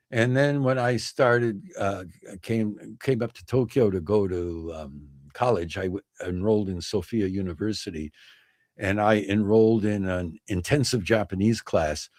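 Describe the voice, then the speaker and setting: A man's voice: lowish voice, no echo, studio quality. An older man, over sixty, hosting a livestream, chatting casually and reflectively, with rambling recollections and self-corrections. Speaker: livestream host.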